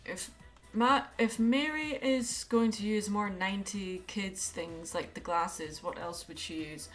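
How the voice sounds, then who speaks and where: A woman's voice: medium pitch, unhurried, fairly bright tone. A woman chatting casually on an art livestream as she draws, musing aloud and thinking as she goes. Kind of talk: casual livestream chat while drawing. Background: background music.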